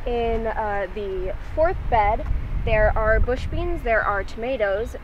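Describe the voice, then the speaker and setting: A woman's voice: on the high side, lively intonation, slow. A teenage girl from a gardening club, showing garden beds outdoors and listing plants with small fillers. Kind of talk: outdoor guided tour. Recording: dull sound with outdoor noise.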